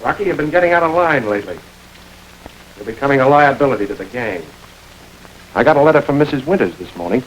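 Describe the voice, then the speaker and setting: A man plays a hard-boiled gang boss, mid-pitched and very quick in his speech. He is commanding and terse, in vintage noir radio style. A faint noise sits on the old recording. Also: animated, close to an everyday voice, poor audio. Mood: commanding, accusing. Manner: terse, giving orders. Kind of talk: 1940s film noir radio acting.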